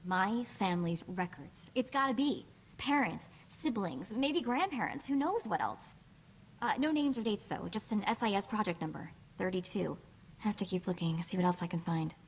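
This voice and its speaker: An actress playing a sassy, quick-witted young woman hacker in a video game, with a chatty, wry delivery. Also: mid-range voice, lively intonation, a little hiss or hum, close to an everyday voice. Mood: curious, excited. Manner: wry, chatty, hesitant asides.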